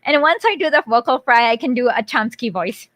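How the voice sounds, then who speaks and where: A woman streamer chatting casually with her audience, rambling and breaking off her own sentences. Her voice sits fairly high, and the sound is dry and close with no room around it. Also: expressive, fairly bright tone, dry recording. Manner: rambling, quick, self-interrupting. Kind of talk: casual livestream chat.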